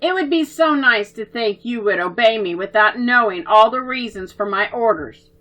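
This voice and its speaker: A woman voicing a stern young man, the twins' older brother, who speaks in firm, measured tones. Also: fairly high pitch, animated, pushed and bright, rough recording.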